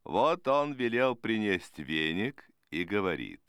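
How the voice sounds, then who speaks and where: A man narrating short fables as an expressive storyteller. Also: lowish voice, measured pace, clear, resonant tone, dry recording, clean studio sound.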